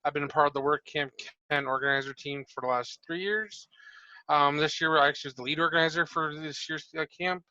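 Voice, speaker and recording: A man from Northeast Ohio introduces himself in a brisk, upbeat way. His voice is bright, forceful and a bit high for a man. It comes through muffled, dry call audio. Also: fairly even intonation, no echo. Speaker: lead organizer, meetup co-host. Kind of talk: casual self-introduction on a video call.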